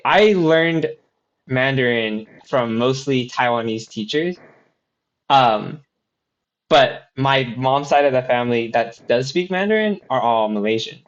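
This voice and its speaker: A man chatting casually on a podcast, rambling with lots of fillers and restarts. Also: medium pitch. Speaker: podcast co-host. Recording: slightly dull lo-fi sound.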